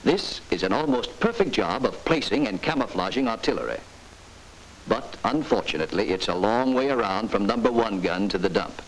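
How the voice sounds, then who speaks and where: A man's voice: fairly low pitch, expressive, normal speed, rough recording. A man narrating a 1940s military training film in a stern, emphatic, instructive way. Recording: hissy 1940s film soundtrack.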